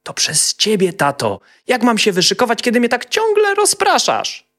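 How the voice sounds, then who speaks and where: A man voicing a cheeky, excitable, easily distracted young half-dwarf boy in a children's fairy tale. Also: very high-pitched, normal speed, voice pushed up, heavily acted. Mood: whiny, defensive.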